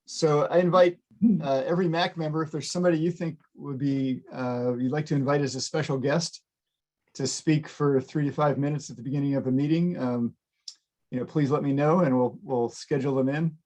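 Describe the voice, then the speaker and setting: A man runs a community advisory meeting over a video call, his voice sitting a touch high for a man. He talks haltingly, with many fillers and restarts. The sound is ordinary video call audio. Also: fairly even intonation, a little mellow, poor audio. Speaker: meeting chair. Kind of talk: virtual meeting chairing.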